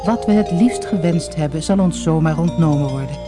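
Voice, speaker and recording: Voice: mid-range voice, a little fast, loud music behind the voice, close to an everyday voice. A storyteller voicing a worried village father in a fairy tale, dramatic and lamenting. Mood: anguished. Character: anguished, worried village father of seven sons.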